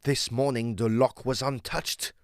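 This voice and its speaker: An actor playing an agitated, proud gentleman explorer of old, speaking emphatically in formal, old-fashioned phrases in a gothic audio drama. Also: medium pitch, steady tone, a little slow, no room sound, clean studio sound, close to an everyday voice. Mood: anguished recounting.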